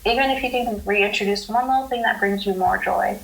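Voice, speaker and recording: A woman talks as a guest on a casual podcast, earnest and reflective. She often pauses to gather her thoughts mid-sentence. Her voice sits at an ordinary middle pitch. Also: gravelly, a little hiss or hum, low-quality recording.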